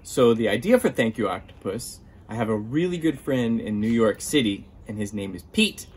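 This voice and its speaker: A man in his thirties, a children's author chatting to kids in an animated storytelling style. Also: lowish voice, moderate pace, reverberant.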